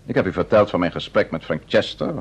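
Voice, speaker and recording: An actor with a Netherlands Dutch accent playing a composed, evasive amateur detective in an old-fashioned radio play, courteous and measured. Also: male voice, deep voice, husky. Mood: guarded. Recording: dull, muffled old radio recording.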